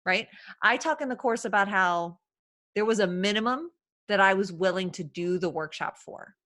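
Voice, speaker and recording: Chatty woman host, 30s, bright voice, casual online call. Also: medium pitch, normal speed. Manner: chatty, laughing, lots of fillers. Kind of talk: casual live-stream chat.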